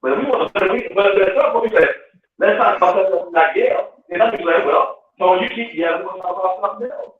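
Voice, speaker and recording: A man talks in a loose group chat, circling back on his points and swearing freely. His voice sits high and comes over a narrow, phone-like line. It sounds distant, wrapped in heavy echo. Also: quick pace. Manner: rambling, repeats himself, swears. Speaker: podcast participant. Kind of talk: casual group discussion.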